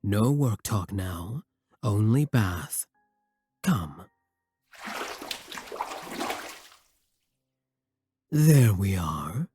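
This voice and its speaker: Man voicing a slow, husky, devoted vampire king. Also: on the low side, lively intonation, dry recording, clean studio sound, soft background music, exaggerated voice. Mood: tender, reassuring. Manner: measured, formal, gently intimate. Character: devoted, courtly vampire king, tender and formal. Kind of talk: romantic ASMR roleplay.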